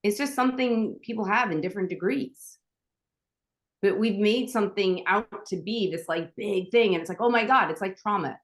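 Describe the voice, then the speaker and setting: A woman's voice: mid-range voice, moderate pace. A woman from Staten Island, a podcast guest chatting casually and acting out the people in her stories. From Staten Island, New York. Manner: animated storyteller, acts out quoted dialogue, frequent restarts. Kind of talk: casual conversational interview.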